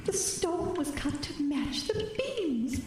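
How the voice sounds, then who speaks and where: A woman's voice: very high voice, big pitch swings, slow pace, noisy background, exaggerated voice. An actress playing a jittery, stammering woman who talks to herself in a frightened way in an audio drama. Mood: nervous reassurance. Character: jittery, chattering female historical society house enthusiast, alone and frightened. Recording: echoing cellar reverb with music.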